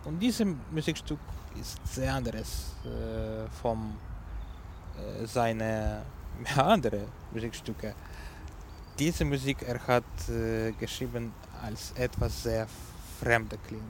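A man with a Ukrainian accent, a native Ukrainian speaker, presenting music on a community radio show in halting German full of fillers as he searches for words. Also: medium pitch, slight background noise.

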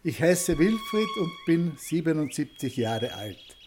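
A man in his seventies calmly making a short scripted statement about how he lives. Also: a little high, measured pace. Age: 70s. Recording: music bed behind voice.

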